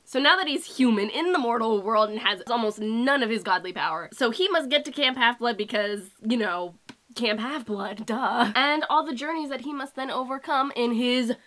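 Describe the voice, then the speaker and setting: A young woman with a stuffy nose from a cold, gushing excitedly in a book review vlog. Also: on the high side, moderate pace. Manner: gushing, bubbly, loud outbursts. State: nasal from a cold.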